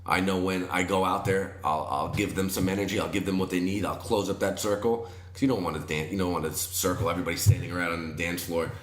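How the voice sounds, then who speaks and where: Guest: easygoing man with a very low, deep voice, confident chat, echoey room. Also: steady tone, quick pace, hardly any pauses. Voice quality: naturally deep voice. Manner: laid-back, confident, says "you know" often. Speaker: wedding DJ and MC guest. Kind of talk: casual chat. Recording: roomy echo.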